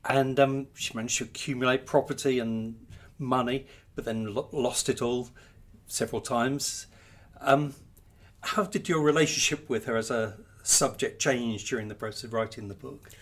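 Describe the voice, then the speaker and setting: A man's voice: medium pitch, steady tone, normal speed. A man co-hosting an interview, setting out considered comments before asking his question. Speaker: podcast interviewer.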